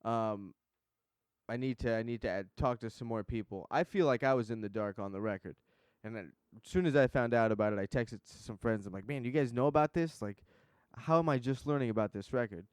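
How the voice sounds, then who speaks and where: A man's voice: mid-range voice, brisk, clear, resonant tone, no room sound, studio quality. A man hosting a podcast, chatting in a laid-back, rambling and enthusiastic way. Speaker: podcast host. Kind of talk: casual interview chat.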